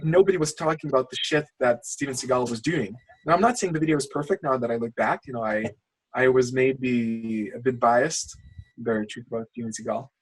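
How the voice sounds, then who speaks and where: A man's voice: mid-range voice, breathy edge, low-quality recording. A man from Lithuania, not a native English speaker, coaching over a video call in a rambling, passionate way with many restarts. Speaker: channel coach. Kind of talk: casual screen-share coaching call.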